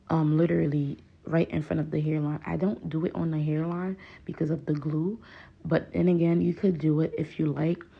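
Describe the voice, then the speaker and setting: A woman's voice: low voice, gravelly, warm tone, husky, lo-fi sound. A young adult woman with a strong Haitian accent, patiently talking viewers through a hairstyling tutorial in a voice-over. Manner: patient, pleading, repeats "please, guys".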